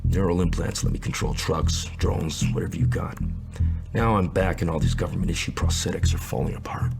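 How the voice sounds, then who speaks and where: Tired ex-soldier drifter, low creaky voice, dystopian western podcast drama, loud music under. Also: male voice, fairly even intonation, fairly quick. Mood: weary, bitter. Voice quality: gravelly. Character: world-weary male war veteran with failing prosthetics, drifting. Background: loud guitar music.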